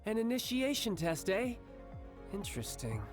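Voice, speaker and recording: Casting: smug young fighter in a video game, grandiose, mock-courteous, very lively intonation, light music behind. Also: male voice, sits high, normal speed. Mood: confident. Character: cocky, theatrical young male fighter posing as toy salesman.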